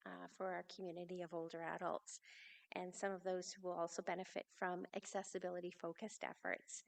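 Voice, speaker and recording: A woman delivers a formal public speech from a podium microphone in a large city hall space. Her voice has a gravelly edge, and she talks earnestly, pausing now and then on small fillers. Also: medium pitch. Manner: earnest, slightly unscripted with fillers. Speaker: city official giving a speech.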